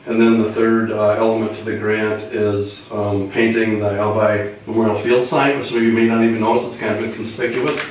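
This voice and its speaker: An American man with a voice roughened by a cold, informally presenting to a meeting. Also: fairly low pitch, little pitch movement, a little fast, hardly any pauses. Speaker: grant presenter at council meeting. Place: indoor meeting room, far mic. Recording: echoey room, distant mic, faint noise.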